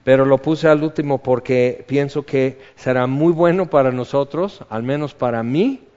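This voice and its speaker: A man's voice: medium pitch, normal speed, darkish tone, clean tone. Man preaching in a hall. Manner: conversational, reads psalm verses aloud. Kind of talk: sermon, Bible teaching. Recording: dull reverberant hall recording.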